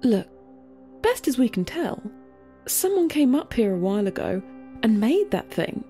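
A woman's voice: fairly high pitch, big pitch swings, measured pace, warm tone, soft background music. A woman voicing a blunt, casual guide to the dead in a story, explaining things matter-of-factly. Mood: offhand explaining. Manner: matter-of-fact, offhand.

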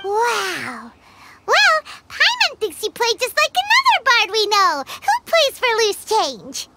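A childlike game fairy, chirpy and cheeky. The voice is extremely high and squeaky, with lively swings. Words come a little slowly and deliberately. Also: female voice, heavy background noise, exaggerated voice. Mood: impressed. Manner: cheeky, squeaky, refers to herself by name. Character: tiny, chirpy, childlike floating fairy companion girl. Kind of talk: video game cutscene dialogue.

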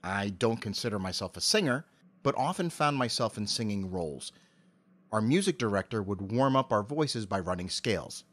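A middle-aged man giving articulate, measured explanations as a voice actor being interviewed. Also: on the low side, animated, fairly bright tone.

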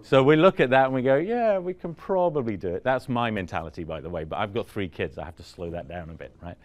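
A man's voice: lowish voice, expressive, quick pace. Man giving a conversational conference talk. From Vancouver, Canada. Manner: conversational, asks the room questions, frequent "right?". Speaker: conference speaker. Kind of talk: conference talk with audience questions. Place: conference room in front of an audience.

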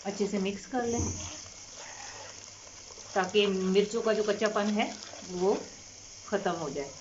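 A woman cooking-channel host explaining a home recipe step by step in a calm, unhurried way with frequent fillers. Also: mid-range voice, muffled recording, a little hiss or hum. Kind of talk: recipe instruction over cooking footage. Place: home kitchen.

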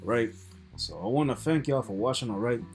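A man's voice: mid-range voice, expressive, fairly quick, creaky, faint music behind. A man in his thirties talking casually as the host of a reaction video, recorded indoors. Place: indoors in a room at a computer.

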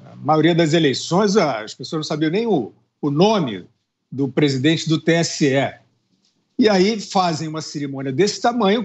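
A man's voice: a little high, a little mellow, dull audio. A middle-aged man giving indignant political commentary on a news show over a video call, talking with earphones from a room at home, with frequent hesitations and tag questions. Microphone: earphones with mic on a video call.

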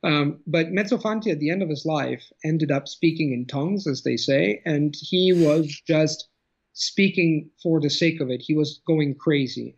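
A man's voice: a little high, a little mellow, breathy edge. A man in his thirties from Italy with an Italian accent, talking as an interview guest over a video call at home, thoughtful and often restarting his phrases. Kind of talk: casual conversation. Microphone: video call webcam. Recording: dull lo-fi video call audio.

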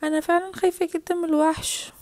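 A woman voicing an excitable, whiny, frightened little girl in Egyptian Arabic, pleading and exclaiming in a children's story. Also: very high-pitched, racing, dry recording.

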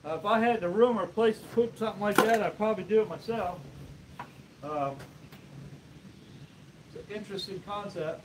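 A man's voice: high-pitched, moderate pace, long pauses. A man in his fifties chatting casually and unhurriedly to viewers as he works in an open outdoor sawmill shed, explaining as he goes. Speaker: host, sawmill woodworker. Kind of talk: casual live vlog while working. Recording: outdoor workshop noise, varying distance from mic.

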